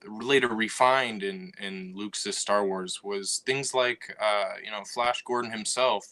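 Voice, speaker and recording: Male co-host, low pitch, bright and forceful tone, steady explainer. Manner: measured, explanatory, filler "you know". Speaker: podcast co-host, writer. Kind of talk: casual chat.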